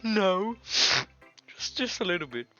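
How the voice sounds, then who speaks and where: A man's voice: high-pitched, big pitch swings, measured pace, fairly bright tone, voice pushed up, exaggerated voice. A man with a cold voicing a cheery, childlike anime schoolgirl in a visual novel, bubbly and eager. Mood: sheepish. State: congested with a cold. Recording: dull lo-fi mic with music behind.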